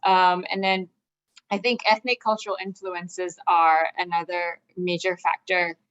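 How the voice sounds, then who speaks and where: A woman's voice: lowish voice, flat delivery, unhurried, fairly bright tone. Earnest Malaysian-raised woman, lo-fi sound. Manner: earnest, with frequent "um" and "right?" check-ins. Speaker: assistant dean speaking at a community event. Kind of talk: conversational talk. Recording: dull lo-fi sound.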